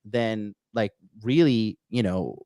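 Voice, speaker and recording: A man's voice: lowish voice, takes it slowly, dark tone, dry recording, studio quality. A man in his thirties hosting a casual livestream chat, talking brashly and profanely, rambling with lots of fillers and restarts. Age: 30s. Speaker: podcast host.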